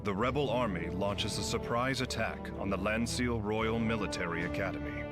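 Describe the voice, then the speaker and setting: A man narrating a game story in a grave, measured way. His voice sits low. Loud score music plays under his words. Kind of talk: scripted narration.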